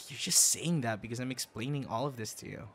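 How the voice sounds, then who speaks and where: A man playing a bashful young man with a secret hobby in a boyfriend audio roleplay, talking in a halting, flustered, conversational way. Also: mid-range voice, a bit bright, natural voice. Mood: bashful, sincere. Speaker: ASMR audio roleplay performer. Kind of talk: intimate boyfriend ASMR roleplay acting. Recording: clear background noise, ambience.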